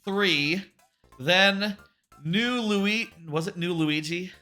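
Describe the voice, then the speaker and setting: A man livestreaming a game, talking casually in a loud voice that rambles and corrects itself, sitting about an arm's length from his microphone. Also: sits high, extremely slow, soft background music. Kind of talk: casual live gameplay chat.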